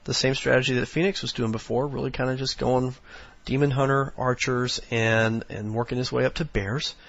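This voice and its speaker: A hoarse, sniffly man with a raspy voice, rambling through casual strategy commentary with lots of fillers. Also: mid-range voice, steady tone, normal speed, fairly bright tone, no echo, lo-fi sound. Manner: rambling, many fillers, instructional. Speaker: game commentator.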